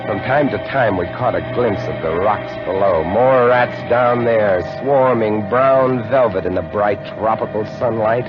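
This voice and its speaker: An actor narrating as a lighthouse keeper in a 1950s radio drama, in an intense, hushed storytelling manner. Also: male voice, on the low side, a little slow, few pauses, lightly acted. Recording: muffled old radio broadcast with loud music.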